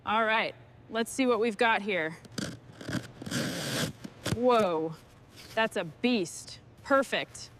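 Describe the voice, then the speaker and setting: A woman's voice: medium pitch, extremely slow, pauses often, noisy background. A woman giving short, procedural work commentary as she restores machinery.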